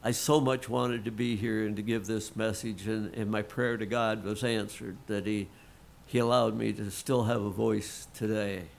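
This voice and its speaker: A middle-aged man, a lay pastor, preaching a sermon in an earnest, folksy way, with a frog in his throat that makes him clear it now and then. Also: lowish voice, steady tone, moderate pace, a little hiss or hum.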